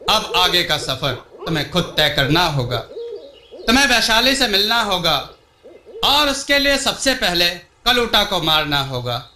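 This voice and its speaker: A wise old villager from a storybook, played by a man, explains and cautions solemnly. The voice is high and very bright, with an echo around it. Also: heavily acted. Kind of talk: storybook dialogue.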